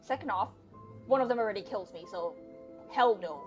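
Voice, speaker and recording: Young woman, game streamer, casual joking commentary, somewhat dull lo-fi mic, soft music underneath. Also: medium pitch, animated, normal speed. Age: young adult.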